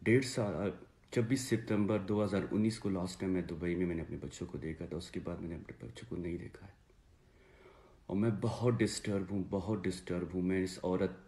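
A man in his thirties with a Pakistani accent, giving an earnest, halting personal statement to camera and repeating phrases for emphasis. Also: sits low, fairly even intonation, mellow. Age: 30s. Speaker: father making a personal video appeal. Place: small indoor room, seated before a plain wall.

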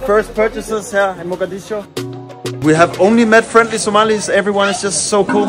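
A man in his thirties talks to a handheld camera at arm's length while strolling through a busy street market. His voice sits a little high for a man and stays bright and chatty. A music bed plays clearly underneath. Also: fairly even intonation, fairly quick. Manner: upbeat, chatty, greets passersby. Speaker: travel vlogger. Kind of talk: walking vlog to camera. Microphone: handheld action camera at arm's length.

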